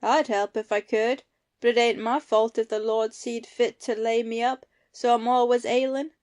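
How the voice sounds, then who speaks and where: Woman playing high-voiced, folksy ailing farmer husband. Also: fairly even intonation, moderate pace, a little mellow, close to an everyday voice. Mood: weary, plaintive. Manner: folksy rustic dialect phrasing. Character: ailing, easygoing rural farmer husband, gently grumbling. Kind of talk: amateur audio play acting.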